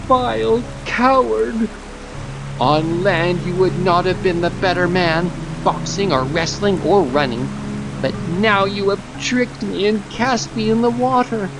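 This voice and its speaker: A tiny, squeaky mouse, pitched very high over prominent music. He declaims his grievances in grand archaic storybook style, frightened and indignant. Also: measured pace, gravelly. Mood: dying, vengeful. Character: small male mouse, frightened then vengeful, drowning. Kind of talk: dramatized storybook audiobook acting. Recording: music under the voice.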